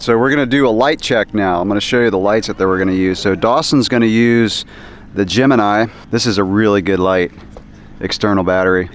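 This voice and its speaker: A man with a somewhat low voice chats upbeat to a handheld camera outdoors at a race. He sounds a little hoarse after a day of yelling. Faint outdoor noise runs beneath him. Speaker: vlogger. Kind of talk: casual vlog narration.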